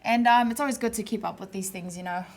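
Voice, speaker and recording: A woman presenting a casual studio chat show in place of the usual host, talking in a friendly, relaxed, reassuring way. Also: mid-range voice, fast talker.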